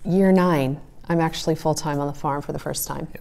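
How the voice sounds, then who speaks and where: A woman's voice: low voice, fairly even intonation, a little fast, gravelly, a little mellow. A woman in her forties giving conversational, explanatory advice to camera as a farmer and host. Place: small wood-panelled room indoors by a stove.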